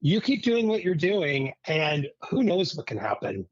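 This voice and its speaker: A man's voice: on the high side, big pitch swings, measured pace, no room sound, highs cut off. A middle-aged American man speaking as a guest in a casual interview, in a reflective, anecdotal way.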